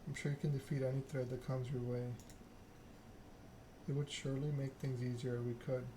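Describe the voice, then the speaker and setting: A man's voice: mid-range voice, flat delivery, fairly quick, noisy background. A man doing an ASMR read-aloud of a narrative game, occasionally stumbling over the written text. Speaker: gaming channel host.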